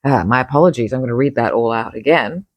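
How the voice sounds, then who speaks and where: A woman's voice: a bass voice, fast talker, dry recording. A woman reading her own magazine article aloud, emphatic and opinionated, breaking off for chatty asides.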